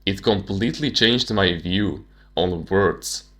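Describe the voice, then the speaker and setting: Deep-voiced young man. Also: takes it slowly, a bit bright. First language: Slovak. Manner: casual, slangy, candid. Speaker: self-improvement channel host. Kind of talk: personal storytelling monologue.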